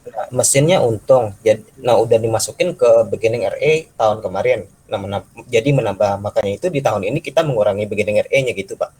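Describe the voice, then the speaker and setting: Husky, mellow young man. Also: fairly low pitch, fast talker, poor audio. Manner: deferential, thinking aloud. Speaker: student. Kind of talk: hesitant classroom questioning.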